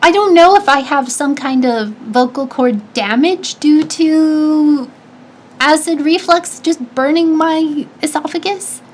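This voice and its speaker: High-pitched, slightly nasal woman streamer. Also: slow. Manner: chatty, advice-giving, lots of restarts and fillers. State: stuffy nose. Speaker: streamer answering chat. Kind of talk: casual chat.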